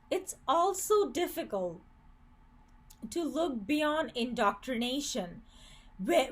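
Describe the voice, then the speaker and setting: A woman's voice: sits high, a bit bright. A woman from Bangladesh giving an earnest, critical opinion commentary, with occasional stumbles and restarts.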